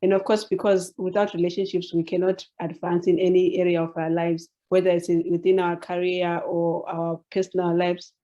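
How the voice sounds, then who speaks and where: A woman with a Zimbabwean accent introducing herself in a webinar, speaking haltingly and repeating words. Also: fairly low pitch, flat delivery, dark tone, muffled recording. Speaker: journalist and science communication presenter.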